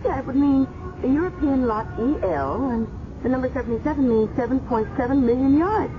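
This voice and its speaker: An actress playing a breezy, forward, wisecracking office worker who flirts and chats, in a 1970s radio drama. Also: female voice, high-pitched, expressive, fairly quick. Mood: chatty. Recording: muffled noisy 1970s radio recording.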